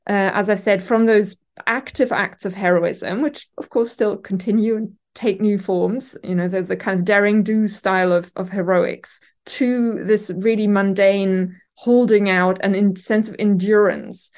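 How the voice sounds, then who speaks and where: A German-born woman with a German accent, an academic guest answering interview questions thoughtfully, pausing often with ums and restarting phrases. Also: medium pitch, steady tone, a little mellow. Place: university office. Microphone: remote call from office.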